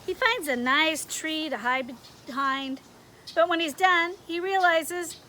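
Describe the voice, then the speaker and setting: A woman's voice: high-pitched, slow pace, bright, forceful tone, slight background noise. A woman reading a picture book aloud outdoors for children, expressively, often stopping to ask them questions. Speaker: story reader for young children.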